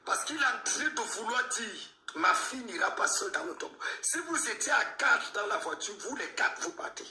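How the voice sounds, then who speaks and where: A man speaking as a pastor, heard quietly and from a distance through an echoey video playback. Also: very high voice, animated, brisk. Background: played back from a video.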